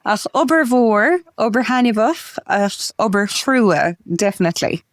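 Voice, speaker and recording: A woman's voice: a little high, expressive, measured pace, dry recording, studio quality. A woman around thirty, chatting casually as a podcast guest and peppering her speech with "like". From Wexford, Ireland. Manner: chatty, frequent "like" fillers, laughs easily. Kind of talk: casual conversational interview.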